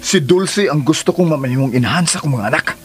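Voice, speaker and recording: A man's voice: fairly high pitch, animated, fairly quick, lightly acted. A man playing a torn husband who pleads and resists a demanding woman, in radio drama style. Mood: reproachful. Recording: music under the voice.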